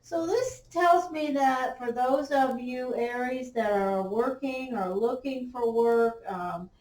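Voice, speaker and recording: Woman, tarot reader, hoarse, very slow, halting, distant mic, faint background hum. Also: a little high, darkish tone. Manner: slow, halting, many fillers. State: slightly losing her voice. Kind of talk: unhurried tarot reading. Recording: roomy, off-mic, faint ambience.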